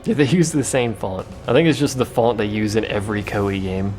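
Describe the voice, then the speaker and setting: A man's voice: on the low side, a little fast, darkish tone, soft background music. A man giving casual, rambling gameplay commentary with a joking, self-mocking manner. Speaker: let's play commentator.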